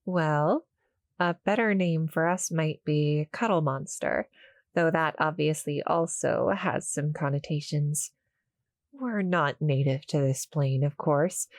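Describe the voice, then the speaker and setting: A woman voicing a small, shy, friendly cuddle-monster girl in an audio roleplay, halting and apologetic as she speaks to the listener. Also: low-pitched, dry recording. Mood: shy, explaining. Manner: nervous, halting, stammering, soft-spoken explaining.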